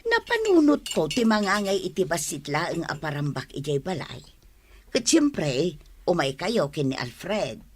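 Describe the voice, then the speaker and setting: A woman's voice: lowish voice, big pitch swings, unhurried, slightly rough, heavily acted. An actress with an Ilocano accent playing a good-natured middle-aged village wife and mother in a radio comedy drama. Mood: content, friendly.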